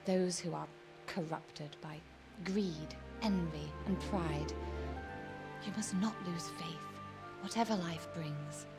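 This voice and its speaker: An actress playing a young, pious noblewoman in a medieval video game, speaking with measured sympathy. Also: fairly low pitch, loud music behind the voice. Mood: devout counsel. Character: gentle, pious medieval noblewoman married to an older lord. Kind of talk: dramatic game cutscene acting.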